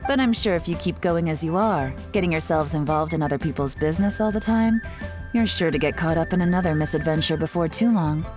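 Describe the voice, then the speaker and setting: A woman's voice: low-pitched, brisk, music in the background, close to an everyday voice. A woman playing a sly, confident secret agent in a video game, poised and playfully knowing. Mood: teasing, assured.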